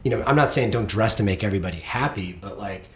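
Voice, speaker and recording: A man's voice: on the low side, animated, quick pace, a bit bright. A middle-aged man hosting a casual conversational interview, speaking in an earnest, reflective way. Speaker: podcast host interviewing own teenage child. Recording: roomy echo, background noise.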